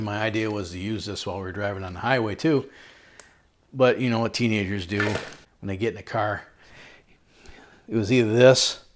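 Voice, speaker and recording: A middle-aged American man with a lowish voice presents a product review. He talks in a casual, folksy manner, dropping jokey slang and slipping into storytelling as he explains things. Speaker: host of a product review video.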